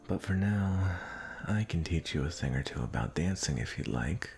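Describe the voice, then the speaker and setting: Man playing a very deep, gravelly suave prince. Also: lively intonation, normal speed, darkish tone, faint music behind. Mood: flirtatious, coaxing. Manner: suave, flirtatious, coaxing. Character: smooth, possessive suitor prince courting his arranged bride. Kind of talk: romantic audio roleplay acting.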